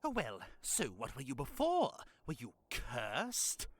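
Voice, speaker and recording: A man voicing an excitable, innocent male skeleton in a fan audio drama, gushing and melodramatic. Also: mid-range voice, noisy background, exaggerated voice. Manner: gushing, melodramatic, rushes his words.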